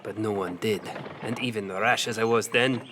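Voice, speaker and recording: An actor playing a seasoned, weary general who recounts memories in a measured, solemn way in a fantasy audio drama. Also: male voice, on the low side, steady tone, noisy background, close to an everyday voice. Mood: wry reminiscing. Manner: measured, plain-spoken, solemn.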